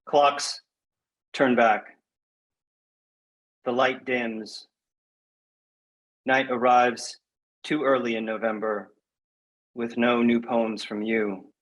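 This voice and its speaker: Measured, reflective man, medium-pitched voice. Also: flat delivery, poor audio. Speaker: guest poet. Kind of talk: poetry reading and conversational interview.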